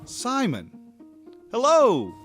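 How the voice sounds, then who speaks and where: A man's voice: extremely high pitch, huge pitch swings, extremely slow, fairly bright tone, soft background music, heavily acted. A man playing an odd, overfriendly, petty village uncle in a comic audio drama. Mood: overeager.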